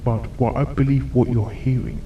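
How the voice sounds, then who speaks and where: A man voicing a stern, short-tempered pony doctor on a case, speaking seriously and deliberately in a fan fiction audio drama. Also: mid-range voice, distant mic, dull audio, slight background noise, lightly acted. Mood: grave.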